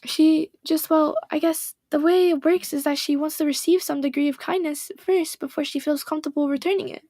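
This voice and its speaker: A woman voicing a poised, caring teenage girl who leads a club, speaking gently and reassuringly, with a slightly hoarse voice. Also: high-pitched, fairly even intonation, a little fast, no echo.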